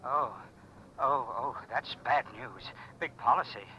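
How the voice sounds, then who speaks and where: An actor playing a fretful, cost-minded insurance executive in vintage radio drama, hesitating over a telephone line. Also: male voice, medium pitch, takes it slowly, pushed and bright. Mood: worried, hesitant. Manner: hesitant, hemming. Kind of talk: 1940s-50s radio drama acting. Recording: dull, noisy vintage radio phone voice.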